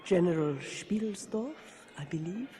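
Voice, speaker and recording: A woman's voice: lowish voice, animated, measured pace, mellow. An actress playing a masked older noblewoman, mysterious and formally imploring, in a gothic horror radio drama. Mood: coy, mysterious. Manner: theatrical, urgent, formal pleading. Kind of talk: old-fashioned gothic horror radio acting.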